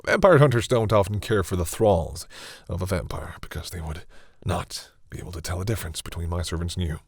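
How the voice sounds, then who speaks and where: A man playing a proud vampire captor who is awkwardly softening, speaking hesitantly and condescendingly to the listener in an intimate audio roleplay. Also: low voice, a little fast, breathy edge, no room sound, studio quality. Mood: instructive, stern. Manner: hesitant, sighing, wryly condescending toward humans.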